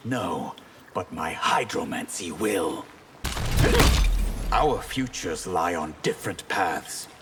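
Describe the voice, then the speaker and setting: An actor playing a proud, disillusioned water mage in a fighting game, grave and measured. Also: male voice, sits low, animated, big-room sound, heavy background noise. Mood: defiant. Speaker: video game character. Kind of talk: dramatic fighting game dialogue.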